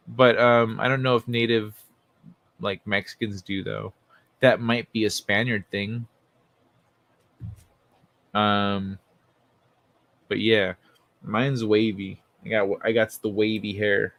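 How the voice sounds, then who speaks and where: A man hosting a livestream in an informal, excitable, sweary way, talking casually and reading things aloud. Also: lowish voice. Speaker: livestream host.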